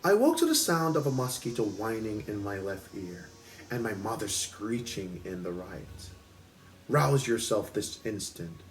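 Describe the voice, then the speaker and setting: A man's voice: lowish voice, big pitch swings, normal speed, low-quality recording. A man hosting a book club, reading aloud expressively at home in a storytelling style.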